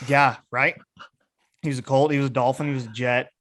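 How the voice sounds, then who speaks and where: A man's voice: medium pitch, steady tone, brisk, a bit bright. Man hosting a casual sports podcast.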